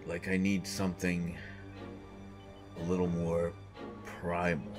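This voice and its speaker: A man voicing a gruff male sports coach who is slowly turning into a hungry monster, speaking haltingly and repeating himself. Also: low-pitched, completely flat delivery, over music. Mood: hungry, troubled. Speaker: audio drama voice actor. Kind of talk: audio roleplay monologue.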